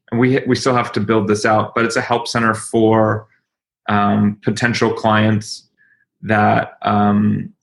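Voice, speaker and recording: Man with creaky voice, informal demo host. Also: on the low side, fairly bright tone. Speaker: webinar host and company leader. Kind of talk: informal live software demonstration.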